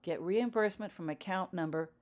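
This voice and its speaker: Woman as businesslike man, low-pitched, wary, terse phone questions, audiobook story. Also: a little fast, a little mellow, lightly acted.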